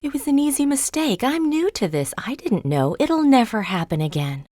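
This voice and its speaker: A woman's voice: sits high, very animated, normal speed, darkish tone, dry recording, clean studio sound, pitched above the performer's own voice. A woman voicing a young woman thief who insistently pleads innocence, in an audiobook. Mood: pleading, defensive.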